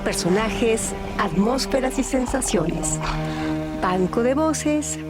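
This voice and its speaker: A woman, narrating scripted stories and commentary in a theatrical, deliberate manner. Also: a little high, expressive, over loud music. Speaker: narrator and host of a radio storytelling series.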